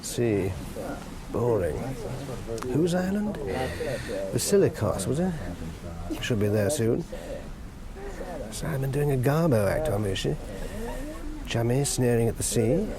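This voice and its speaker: An actor playing a sardonic visiting lover in a radio drama, with dry, mocking asides. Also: male voice, medium pitch, very animated, slow pace, long pauses, a loud crowd. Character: sardonic visiting lover, wry about the rich. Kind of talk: radio drama acting with inner monologue.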